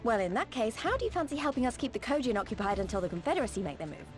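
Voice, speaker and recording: Plucky young game heroine, high lively voice, very expressive, faint music. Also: female voice, very fast. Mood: urgent. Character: spirited, eager young female adventurer. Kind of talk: dramatic game cutscene acting.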